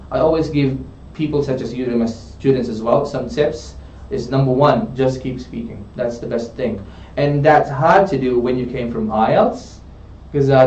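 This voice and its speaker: A man's voice: medium pitch, a little mellow. A man working as an English tutor, coaching a student in a studio and often checking in with "okay?". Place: studio room. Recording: roomy, distant, dull studio sound.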